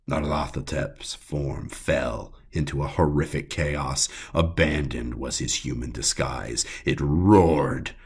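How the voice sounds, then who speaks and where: A man reads dramatic horror fiction in a bottomless, gravel-filled voice. He takes it slowly and gravely, giving the scripted prose a deliberate weight. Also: rumbling low. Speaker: audiobook narrator.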